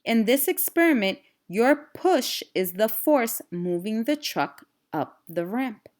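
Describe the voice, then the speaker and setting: A woman voicing an encouraging female science teacher in a storybook, giving clear classroom instructions. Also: fairly high pitch, big pitch swings, slow pace, a little mellow.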